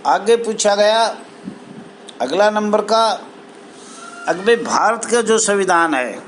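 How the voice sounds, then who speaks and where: A man giving a formal spoken appeal as an association spokesman, in a deliberate, halting, emphatic way, phrase by phrase. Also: sits high, animated, fairly bright tone, slight background noise, rough recording. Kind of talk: formal address to camera, appeal.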